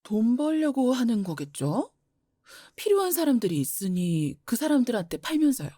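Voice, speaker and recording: A woman playing a flower-shop mother in her forties, gentle and teasing, often laughing. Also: a little high, big pitch swings, moderate pace, no echo, studio quality. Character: warm mother who runs a flower shop. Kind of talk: audio drama acting.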